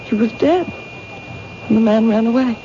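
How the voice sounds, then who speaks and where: A fearful young woman in a vintage radio thriller, played by an actress. She speaks in an anxious, halting, emotional way, full of doubt. The sound is muffled and noisy, like an old broadcast. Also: on the high side, warm tone, close to an everyday voice. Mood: haunted, frightened. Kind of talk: 1970s radio drama acting. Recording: dull, noisy 1970s radio recording.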